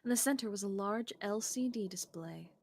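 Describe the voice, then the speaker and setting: Woman narrating aloud, medium pitch. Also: moderate pace. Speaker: streamer narrating a visual novel. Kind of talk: read-aloud story narration with casual asides. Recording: faint background noise.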